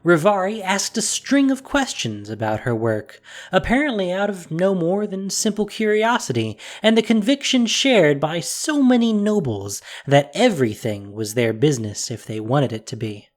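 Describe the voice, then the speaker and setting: A narrator reading a fantasy story in a measured, expressive storytelling voice. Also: mid-range voice.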